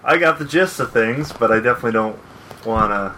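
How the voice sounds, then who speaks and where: A man speaking as a podcast guest in casual chat, measured and dry. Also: mid-range voice, pushed and bright, slight background noise, low-quality recording.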